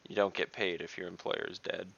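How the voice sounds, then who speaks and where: Male tabletop player, very low and fry-heavy with an edge, dry practical delivery, slightly muffled call audio. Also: level tone, fairly quick, a bit bright, no echo. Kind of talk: casual in-game planning talk.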